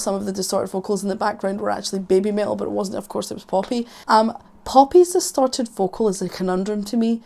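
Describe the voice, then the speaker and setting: A Scottish woman in her thirties talks through a music reaction with wry, sarcastic asides. Her voice has a gravelly fry and is going hoarse. She sits at a middling pitch for a woman. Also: a little mellow. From Scotland. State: losing her voice. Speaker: vocal coach reacting to music. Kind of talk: casual reaction commentary.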